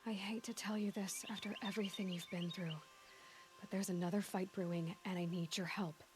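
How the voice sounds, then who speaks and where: A woman's voice: mid-range voice, steady tone, brisk, noisy background, close to an everyday voice. Woman acting a direct young warrior heroine. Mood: urgent, earnest. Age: young adult. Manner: direct, understated. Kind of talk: video game cutscene acting.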